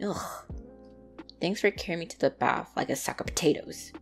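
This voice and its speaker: A woman's voice: sits low, lively intonation, moderate pace, gravelly, soft background music. A woman playing a bratty, easily flustered young tsundere catgirl in an ASMR roleplay, speaking indignantly and defensively to the listener. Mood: indignant, bossy.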